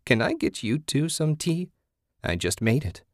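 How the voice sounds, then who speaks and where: A man voicing an eerie old woman hermit in a story, folksy and hospitable yet sly, with drawn-out phrasing. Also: a little high, sing-song, dry recording, studio quality, pitched above the performer's own voice.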